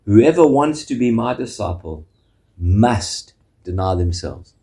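Deep-voiced South African male preacher. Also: expressive, dark tone. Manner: measured preaching, tag questions with "eh?". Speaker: pastor. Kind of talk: sermon.

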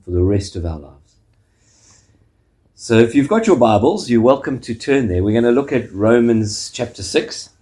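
A man with a South African accent preaching a sermon as pastor, measured, often ending points with a questioning "eh?". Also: on the low side, warm tone.

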